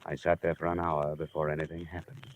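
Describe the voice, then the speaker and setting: Low-voiced hard-boiled radio detective. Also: male voice, completely flat delivery, quick pace, highs cut off, a little hiss or hum. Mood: dry narrating. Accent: American. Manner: clipped, matter-of-fact. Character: hard-boiled male insurance investigator, brisk, dry, unflappable. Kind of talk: 1950s American radio detective drama acting and narration. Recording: vintage radio recording, faint music.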